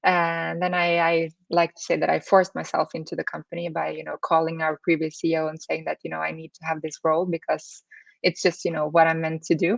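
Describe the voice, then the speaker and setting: A woman's voice: low voice, monotone, brisk, creaky, no room sound, muffled recording. A woman in her thirties from Norway with a Norwegian accent, giving conversational interview answers peppered with "you know". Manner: hedging, frequent "you know". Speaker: interview guest, startup accelerator CEO.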